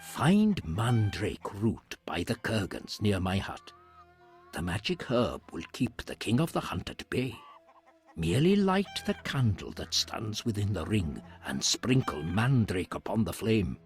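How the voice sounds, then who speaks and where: An actor playing a solemn, reverent hermit in a fantasy video game, with formal, measured phrasing and a husky voice. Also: male voice, on the low side, big pitch swings, soft background music. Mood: solemn, instructive.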